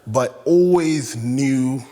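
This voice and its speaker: A man's voice: mid-range voice, drawn-out words, mellow. A young man from Canada talking as an interview guest, reflective and hesitant, with many fillers and restarts. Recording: echoey room with long reverb.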